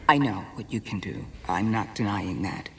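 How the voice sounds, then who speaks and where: A woman playing a grave, guilt-ridden middle-aged former Jedi mentor in a game cutscene, speaking in a measured, solemn, deliberate way. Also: extremely low pitch, expressive, a little hiss or hum. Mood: concerned. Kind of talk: dramatic video game cutscene acting. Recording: music behind dialogue.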